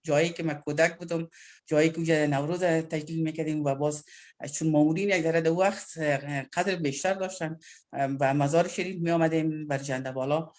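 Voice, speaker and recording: A man's voice: a little high, steady tone, very fast, a little mellow. A man speaking as a guest, nostalgically recalling childhood holidays.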